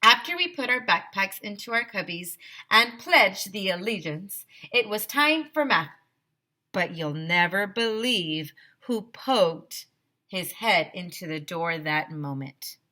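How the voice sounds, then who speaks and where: Bright-voiced, slow-paced woman reading to children. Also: mid-range voice, expressive. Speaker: teacher reading aloud. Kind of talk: expressive children's read-aloud narration.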